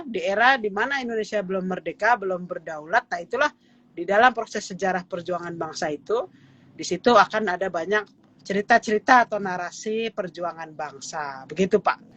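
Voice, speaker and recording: A woman's voice: mid-range voice, fairly bright tone, no echo, highs cut off. A woman in her thirties, a guest expert, explaining heritage in an interview with many "eh" and "ya" fillers.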